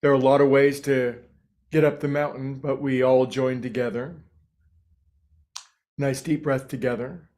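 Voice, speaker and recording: A man with a very even, level delivery leads a guided meditation as its teacher. He is calm and unhurried, setting out short phrases with space between them. His pitch sits in a middle range and moves very little.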